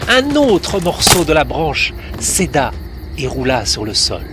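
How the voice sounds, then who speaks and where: A man narrating a children's story in a gentle, expressive way. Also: on the high side, slow, heavy background noise.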